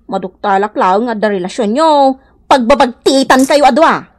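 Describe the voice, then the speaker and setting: A woman acting a sharp-tongued, suspicious wife in an Ilocano comedy radio drama, scolding and exclaiming with an Ilocano accent. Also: a little high, animated, moderate pace.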